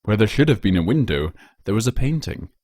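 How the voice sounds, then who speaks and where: A man with a low voice narrates a story. He reads in a measured, dramatic way, and the sound is dry, with very little room. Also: sing-song, darkish tone, slightly rough, no echo. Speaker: narrator, fan fiction reader.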